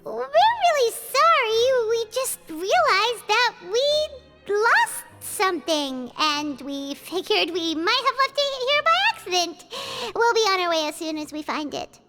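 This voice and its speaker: A woman voicing a small, cheeky female fairy companion in a video game, with a squeaky childlike voice and a perky manner. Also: very animated, a little slow, pushed and bright, faint music behind, heavily acted. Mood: coaxing. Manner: perky, speaks of herself in third person. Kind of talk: animated game voice acting.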